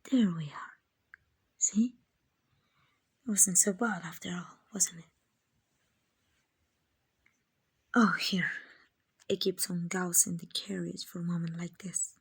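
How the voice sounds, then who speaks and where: A woman playing a kind, doting vampire owner in an ASMR roleplay, soft and often whispering, gently coaxing and reassuring. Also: on the low side, fairly even intonation, unhurried, vocal fry, long pauses, breathy edge, exaggerated voice. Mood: soothing, coaxing. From Mexico. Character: kind, doting, genteel female vampire owner, soothing and possessive.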